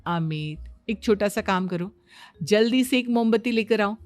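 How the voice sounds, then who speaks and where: A woman's voice: medium pitch, a little slow, soft background music, natural voice. A woman voicing a confident, breezily boastful young daughter-in-law in a Hindi cartoon, explaining everything with self-assurance. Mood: instructive. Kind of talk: Hindi cartoon story dialogue.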